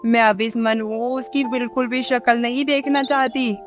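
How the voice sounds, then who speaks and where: A woman's voice: a little high, flat delivery, normal speed, soft background music, lightly acted. A woman voicing a harsh, scornful older mother-in-law in a scolding, contemptuous way for a moral-story cartoon. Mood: bitter, scornful. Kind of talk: acted dialogue in Hindi moral-story cartoon.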